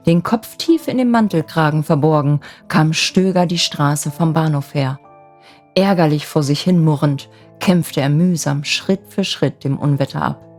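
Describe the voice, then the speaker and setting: Woman narrator, soft and gentle, slightly low pitch, animated intonation, bedtime fairy tale reading. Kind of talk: expressive bedtime storytelling.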